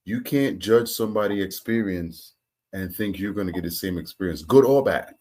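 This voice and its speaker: A man's voice: sits low, moderate pace, darkish tone. A man hosting a reaction video, talking loosely and repeating himself. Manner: loose, talkative, repeats words and uses slang. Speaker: host reacting to clips. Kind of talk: casual reaction commentary.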